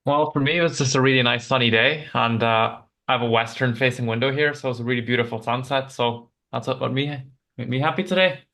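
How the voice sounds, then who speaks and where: A man chats casually on a group video call. His voice is bright and crisp-edged, sitting at a middle pitch. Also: fast. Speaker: meeting participant. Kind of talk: casual chat.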